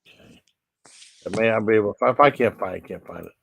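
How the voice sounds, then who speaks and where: A man hosting a casual live stream, talking in a halting, repetitive, rambling way that often trails off. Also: lowish voice, gravelly, fairly bright tone, a little hiss or hum.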